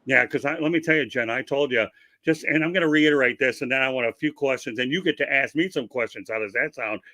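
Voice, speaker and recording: A man's voice: mid-range voice, fast talker, bright, forceful tone, no room sound. A man hosting a casual interview, talking at length in a chatty, rambling, promotional way over a video call. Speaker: interview host.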